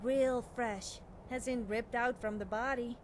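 A woman's voice: fairly high pitch, fairly even intonation, unhurried, a little hiss or hum, natural voice. A young woman playing an eager, nervous, cheerfully amoral witch student in video game dialogue, chatty and girlish, often hesitating and backtracking. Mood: confiding, anxious.

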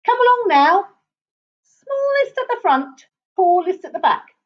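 Woman doing a pompous male lion, commanding, old-fashioned, animated storybook delivery. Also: extremely high pitch, measured pace, lo-fi sound, voice pushed up, full character voice. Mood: bossy.

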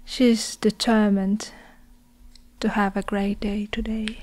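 A young woman tells a story in a breathy ASMR whisper. She moves extremely slowly and deliberately, leaving long gaps between phrases. Her delivery stays even and very mellow. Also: mid-range voice. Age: young adult. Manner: slow, hushed, deliberate, with long pauses. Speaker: ASMR performer narrating a story.

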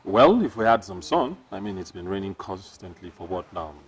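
A man in his thirties with a Nigerian accent, acting a clinic manager in a film drama and speaking in a measured, stern way. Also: sits low, big pitch swings, slightly rough, exaggerated voice. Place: outdoors on a clinic balcony. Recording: distant mic with faint noise.

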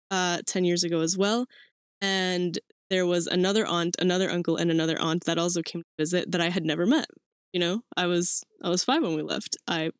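A woman's voice: lowish voice, dry recording, clean studio sound. A woman in her twenties from Mexico hosting her own podcast, talking casually and rambling with fillers and little laughs. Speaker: podcast host. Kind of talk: casual solo podcast talk. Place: small cluttered room indoors.

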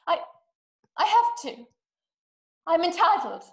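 A woman's voice: extremely high pitch, sing-song, slow pace, exaggerated voice. A young woman playing an anxious, self-pitying, imperious invalid wife in a drama performed over video call. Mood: anxious, entitled. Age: young adult. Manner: agitated, imperious, complaining. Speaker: actress. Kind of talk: stage acting in a radio-play adaptation. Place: small room indoors. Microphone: webcam video call. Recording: roomy laptop video-call sound.

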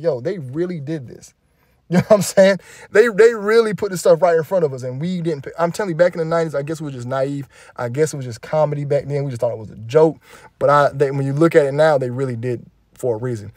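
A man's voice: on the high side, darkish tone, dry recording, clean studio sound. A man giving casual, indignant reaction commentary over music videos, rambling and emphatic.

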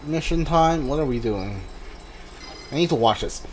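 A man doing casual playthrough commentary, halting and a bit puzzled as he reads game text aloud. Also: on the high side, lively intonation, a little hiss or hum, poor audio. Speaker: gameplay streamer. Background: game music.

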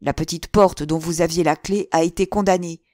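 Woman reader playing a harsh haughty count, sneering, low-set voice. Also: steady tone, normal speed, darkish tone, dry recording, studio quality, natural voice. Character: stern haughty aristocratic father, a count.

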